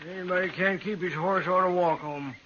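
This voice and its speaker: A man's voice: sits high, steady tone, brisk, lightly acted. A man playing an ornery, talkative 85-year-old frontiersman in a 1950s radio western, blunt and folksy in his speech. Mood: cantankerous. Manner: blunt, cantankerous, folksy drawling grammar. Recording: noisy, muffled 1950s radio recording.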